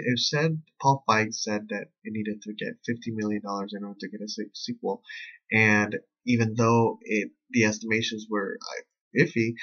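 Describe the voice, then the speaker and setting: A man's voice: mid-range voice, a bit bright, slightly rough. A man in his forties giving a casual, chatty review, often repeating his phrases for emphasis. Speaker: vlogger reviewing a film. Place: small bedroom, indoors. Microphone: webcam at arm's length. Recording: dull, lo-fi webcam sound.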